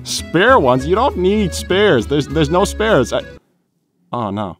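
A performer voicing a boastful, fussy fox inventor in an animated comic dub, with a smug, theatrical delivery. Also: medium pitch, slow. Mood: sheepish. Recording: music behind the voice.

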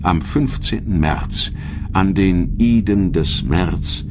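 A man reading a scripted audio documentary in a measured, dramatic storytelling manner, with a husky voice. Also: low-pitched, noisy background. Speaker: documentary narrator. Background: background music.

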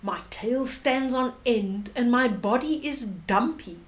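A woman's voice: a little high, expressive, slow. A woman voicing a dumpy, warty warthog in a storybook, emphatically boasting of its ugliness. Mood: boastful. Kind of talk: storybook character reading.

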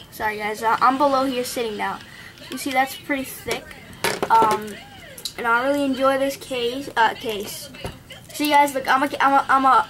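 A young woman with an Indian accent, casually reviewing a gadget at her home desk while thinking aloud and correcting herself. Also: high-pitched. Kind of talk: casual unboxing vlog. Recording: noisy home camera recording.

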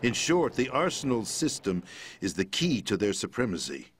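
An actor playing a middle-aged president in a video game, explaining a conspiracy in a measured, grave manner. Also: male voice, fairly low pitch, very animated, breathy edge. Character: calm, grave middle-aged man, president briefing via codec. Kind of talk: video game cutscene voice acting.

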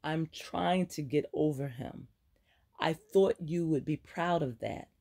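A reader voicing a sad, reckless young woman surgeon, speaking softly and wryly. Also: medium pitch, takes it slowly, creaky. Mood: apologetic.

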